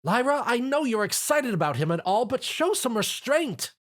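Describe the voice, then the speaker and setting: A young female unicorn for a story, performed by a man. The voice is high and urgent, with an anxious, scolding edge. It moves about in an animated way. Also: normal speed, heavily acted. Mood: stern scolding.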